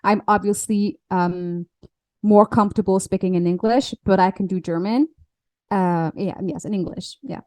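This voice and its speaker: A woman's voice: mid-range voice, normal speed, a little mellow, no echo. A woman whose first language is French, a podcast guest and alignment coach, talking casually in English with many fillers and restarts. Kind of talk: casual interview chat.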